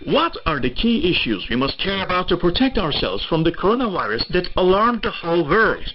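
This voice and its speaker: A man's voice: high-pitched, sing-song, fairly quick. A man reading a scripted public information announcement on shortwave radio. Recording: dull narrowband shortwave radio reception.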